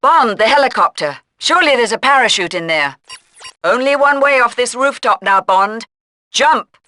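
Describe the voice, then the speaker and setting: M, a crisp British spy chief. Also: mid-range voice, expressive, normal speed, sharp tone, slight background noise. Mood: urgent. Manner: formal, authoritative, clipped. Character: stern British intelligence chief briefing a secret agent. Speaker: mission briefer. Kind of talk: scripted video game voice acting.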